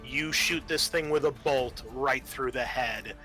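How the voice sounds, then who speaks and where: Bright-voiced man running a tabletop game. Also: medium pitch, measured pace, soft background music. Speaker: game master. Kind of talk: narrating a tabletop roleplaying game.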